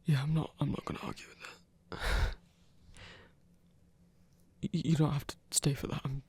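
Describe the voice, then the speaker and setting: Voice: medium pitch, little pitch movement, moderate pace, a little mellow, breathy edge. A performer playing a nervous, self-conscious werewolf hunter in an ASMR romance, hesitant and stammering. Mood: anxious, ashamed.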